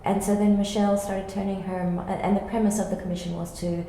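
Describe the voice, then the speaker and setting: Aussie woman theatre director chatting through her process, soft mellow tone, really echoey room. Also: mid-range voice, flat delivery, fast. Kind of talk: panel interview, explaining a creative process. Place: reverberant indoor room. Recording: very echoey room, faint background noise.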